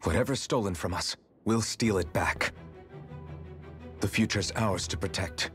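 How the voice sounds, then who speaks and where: A man's voice: lowish voice, measured pace. A man playing a resolute young leader of companions in a fantasy game, earnest and determined. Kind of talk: dramatic fantasy game voice acting. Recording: loud music over the voice.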